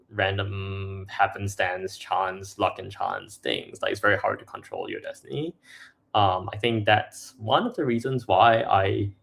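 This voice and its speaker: A man's voice: lowish voice, gravelly, a bit bright. A young man from Singapore, a startup co-founder talking casually as a podcast guest, full of fillers and restarts. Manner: filler-heavy, restarts often, laughs between points. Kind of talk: casual interview chat.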